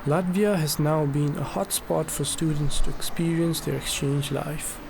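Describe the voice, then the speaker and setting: A man reading scripted documentary narration in an earnest, measured way. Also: fairly high pitch, fairly even intonation, creaky, background noise.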